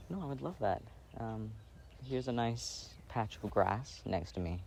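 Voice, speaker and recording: A man playing a gentle, insecure boyfriend in an intimate ASMR roleplay, speaking softly and hesitantly. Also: medium pitch, lively intonation, vocal fry. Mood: tender. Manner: hesitant, halting, soft-spoken. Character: gentle, insecure, affectionate plant-loving boyfriend reading outdoors. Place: outdoors, sitting on grass. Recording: faint outdoor noise, birdsong. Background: faint birds and outdoor ambience.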